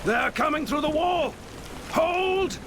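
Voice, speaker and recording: A man's voice: very high-pitched, lively intonation, a little slow, gravelly, exaggerated voice. A man playing a bold, blunt Norse warrior jarl in a video game, speaking with command over a noisy backdrop. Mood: fierce. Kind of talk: dramatic game acting.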